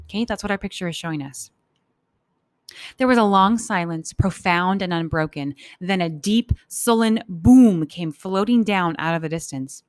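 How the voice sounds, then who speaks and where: A woman's voice: on the low side, moderate pace, no echo. A woman teacher reading a story aloud for children and explaining it informally as she goes. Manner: informal, explanatory, acts out thoughts.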